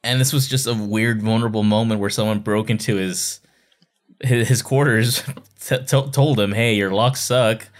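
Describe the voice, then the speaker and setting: A man's voice: lowish voice, steady tone, normal speed. A man co-hosting a fan podcast, chatting casually and enthusiastically, often repeating phrases for emphasis. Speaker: podcast co-host.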